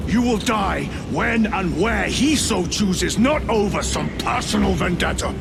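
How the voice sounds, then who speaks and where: An actor playing a battle-hardened, dutiful space marine lieutenant in a video game, forceful and commanding. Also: male voice, high-pitched, expressive, a little slow, vocal fry, exaggerated voice. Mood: stern rebuke. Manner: forceful, declamatory. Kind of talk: dramatic video game voice acting. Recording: loud music and battle noise behind.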